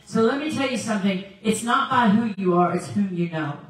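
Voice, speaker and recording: A woman's voice: mid-range voice, fairly quick. A woman in her sixties from South Africa, a guest evangelist preaching with a handheld mic and telling stories with laughter. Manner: animated storytelling with laughs. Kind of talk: preaching sermon. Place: large church sanctuary, indoors. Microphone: handheld mic, filmed by far camera. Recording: very echoey hall from far off.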